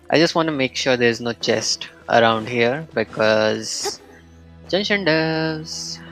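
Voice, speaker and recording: Guy streaming a game, slow casual commentary, thinking out loud, faint music behind him. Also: medium pitch. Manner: thinking aloud, offhand reactions. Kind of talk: casual let's-play commentary.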